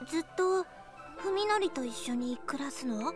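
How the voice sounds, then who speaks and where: A woman's voice: high voice, expressive, fairly quick, music in the background. A woman playing a mysterious, mischievous and affectionate young girl in a Japanese visual novel. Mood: shy, surprised.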